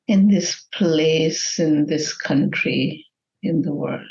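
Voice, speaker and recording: A woman leading a guided meditation in a gentle, measured manner with long pauses between phrases. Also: low-pitched, dull audio.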